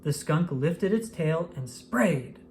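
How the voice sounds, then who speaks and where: A narrator reading a children's picture book aloud in an expressive, scripted storytelling style. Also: mid-range voice, takes it slowly. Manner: expressive, acts out exclamations and dialogue.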